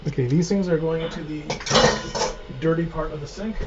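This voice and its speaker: Male home cook, slightly high voice, chatty and joking, dull lo-fi stream audio with noise. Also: brisk. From Canada. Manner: chatty, joking, narrates his cooking steps. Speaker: cooking show host. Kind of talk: casual live cooking stream. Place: home kitchen.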